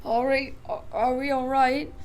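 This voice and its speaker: A woman voicing a frantic, excitable old grandfather who stammers and panics. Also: sits high, a little slow, slight background noise. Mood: stammering worry.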